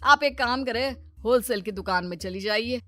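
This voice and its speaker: A woman's voice: on the high side, normal speed, pushed and bright. A woman voicing a male sari shopkeeper in a cartoon story, politely condescending to customers. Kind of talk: Hindi children's cartoon storytelling.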